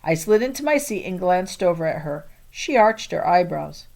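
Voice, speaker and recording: A woman's voice: on the low side, sing-song, moderate pace, vocal fry, darkish tone. A woman narrating a children's novel in the first person with expression, occasionally stumbling and re-reading words. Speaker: audiobook reader.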